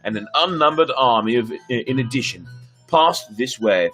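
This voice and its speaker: Boastful storybook peasant champion, read by a man, grand and deliberate, mid-pitched, music behind. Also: expressive, a bit bright, natural voice, poor audio. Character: boastful peasant champion, solemn, self-important young man.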